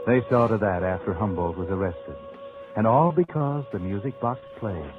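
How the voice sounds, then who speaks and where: An actor playing a sardonic, knowing narrator of suspense tales in 1940s radio style, confiding and teasing dramatically. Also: male voice, on the low side, lively intonation, normal speed, close to an everyday voice. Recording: muffled vintage radio recording over loud music.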